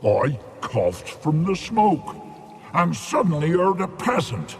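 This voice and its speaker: A man voicing a fat, greedy, pompous creature who poses as a god in a video game, with gravelly theatrical speech. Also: a little high, big pitch swings, slow, in a large hall, slight background noise, heavily acted. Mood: boastful. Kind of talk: video game character acting.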